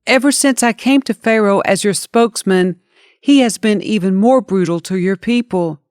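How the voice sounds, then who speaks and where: A woman's voice: mid-range voice, normal speed, a little mellow, no echo, clean studio sound, voice pushed up, lightly acted. Bold, earnest male prophet performed by a female reader, insistent delivery for scripture storytelling. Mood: anguished protest.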